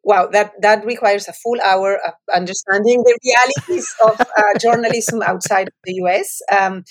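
A woman's voice: mid-range voice, moderate pace. A woman in her forties from Argentina with a Spanish accent, an author answering interview questions in reflective, winding storytelling full of restarts.

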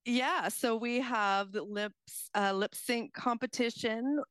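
A woman's voice: fairly high pitch, takes it slowly, fairly bright tone, clear, resonant tone, dry recording. A woman chatting casually on air as a community reporter.

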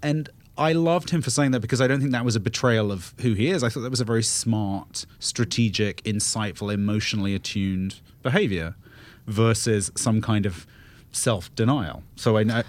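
A man guest-hosting a podcast discussion, talking quickly and with animated enthusiasm in a studio. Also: fairly low pitch. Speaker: guest host, travel editor.

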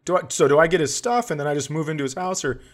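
A man's voice: a little high, lively intonation, racing. A man co-hosting a comedy podcast in casual banter, riffing with playful exaggeration.

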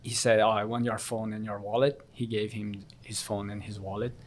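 Guy in his late 30s from brazil, korean first language, talks plain and frank, kinda low voice. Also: level tone, fairly quick, a little hiss or hum. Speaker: interviewee. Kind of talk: casual conversational interview.

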